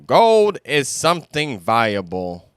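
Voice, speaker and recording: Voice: mid-range voice, sing-song, takes it slowly, clear, resonant tone, no echo, clean studio sound. A narrator voicing a pompous, learned male owl who explains things, in a children's storybook.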